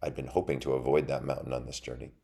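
Storybook turtle knight, read by a man: adult male, very low voice, humble, formal, chivalrous. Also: little pitch movement, fast talker, vocal fry, dark tone. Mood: concerned.

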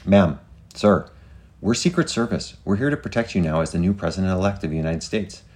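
A man's voice: low-pitched, brisk, darkish tone, voice pushed up, lightly acted. A man playing an earnest, deferential young Secret Service inspector with a formal, polite, urgent manner in a story. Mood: flustered, deferential.

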